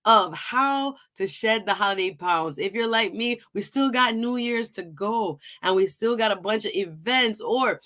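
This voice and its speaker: A woman's voice: mid-range voice, a little slow, few pauses, sharp tone. Upbeat woman hosting a talk show. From Jamaica. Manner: chatty, upbeat, laughs often. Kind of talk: live-stream talk show intro.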